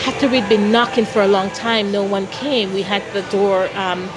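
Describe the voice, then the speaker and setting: A woman from Jamaica telling personal stories as an interview guest, in a deliberate, expressive way. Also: medium pitch, music in the background, low-quality recording. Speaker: interview guest, founder of a philanthropy organization.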